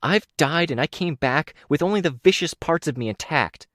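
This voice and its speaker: Cold, threatening young woman, raised pitch, a male narrator doing her, dry read. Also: animated, normal speed, a bit bright, no room sound. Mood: menacing. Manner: hard, threatening, clipped. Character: cold menacing young woman, former killer.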